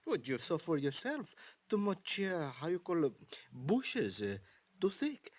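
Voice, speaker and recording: An actor playing a loyal, earnest house servant with a Spanish accent and hesitant, stammering English in an old radio drama. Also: male voice, fairly high pitch, wildly swooping pitch, measured pace, darkish tone, dry recording, exaggerated voice.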